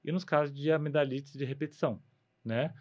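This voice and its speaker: A man's voice: a little high, fairly even intonation, a little fast, highs cut off. A man with a Brazilian Portuguese accent, a guest doctor explaining medical matters conversationally, often posing a question and answering it himself.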